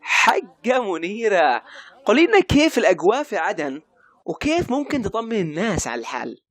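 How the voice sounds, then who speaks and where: A fast, animated street interviewer from a cartoon, played by a man. The questions are formal and brisk. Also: high voice, no echo, exaggerated voice. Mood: brisk, polite. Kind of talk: cartoon comedy dub.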